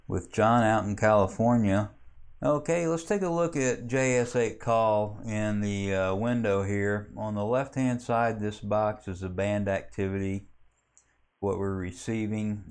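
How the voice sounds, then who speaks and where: Low, smooth-voiced man explaining software, evenly. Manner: halting, plainspoken step-by-step explaining. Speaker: amateur radio hobbyist giving a software tutorial. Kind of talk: unscripted screen walkthrough.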